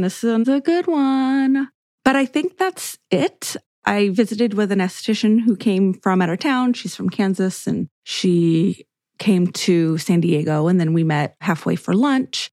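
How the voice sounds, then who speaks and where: A woman's voice: no room sound, studio quality. A woman hosting her own skincare podcast, talking casually to listeners and laughing often as she goes. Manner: chatty, laughs often, lively pitch swings. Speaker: podcast host, esthetician. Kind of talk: casual solo podcast chat.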